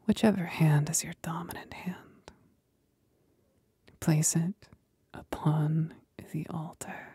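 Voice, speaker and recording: Possessive demon companion played by a woman, ASMR roleplay: very slow, dark breathy whisper, seductive and commanding. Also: deep voice, fairly even intonation, no echo, exaggerated voice. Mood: coaxing, commanding. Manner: slow, deliberate, soothing yet domineering.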